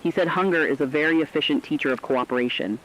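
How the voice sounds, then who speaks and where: A man reading a scripted human-interest news report in a plain, measured storytelling manner. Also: fairly high pitch, fairly bright tone, a little hiss or hum, low-quality recording. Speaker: radio feature reporter.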